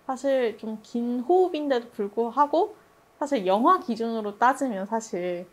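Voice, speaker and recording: A woman's voice: on the high side, expressive, normal speed. A woman speaking as a production director in a reflective group discussion, thoughtful and hesitant, often laughing a little as she talks.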